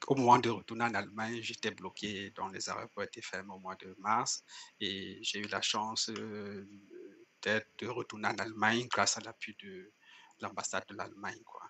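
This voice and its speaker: A young man wearing a headset, a guest on a video call, telling his story in a halting way. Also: lowish voice, bright, forceful tone, husky. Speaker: guest entrepreneur. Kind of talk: casual interview answers. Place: indoor room, joining by video call.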